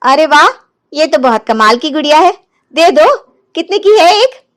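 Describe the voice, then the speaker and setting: A gullible cartoon village housewife, acted by a woman, very high in pitch. She exclaims everything with melodrama and wide swoops. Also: expressive, a little slow, fairly bright tone. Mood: delighted. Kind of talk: animated moral story dialogue.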